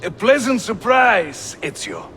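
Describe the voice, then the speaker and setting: An actor playing a loyal, brotherly assassin in a video game, speaking expressively. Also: male voice, sits high, huge pitch swings, slow pace, sharp tone, a little hiss or hum, exaggerated voice. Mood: wry, urgent. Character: warm, loyal, lively Ottoman male assassin comrade. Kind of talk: cinematic video game acting.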